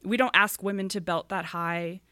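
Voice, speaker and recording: A young adult woman co-hosting a casual podcast chat, stating firm opinions and growing animated when she makes a point. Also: medium pitch, moderate pace, no echo, studio quality. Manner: opinionated, gets animated and emphatic. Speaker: podcast co-host.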